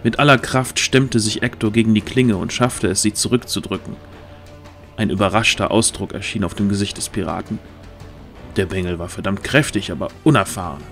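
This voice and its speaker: A man narrates a fantasy audio play in a fairly low voice. It is scripted storytelling, with faint music running underneath. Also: a little fast, fairly bright tone.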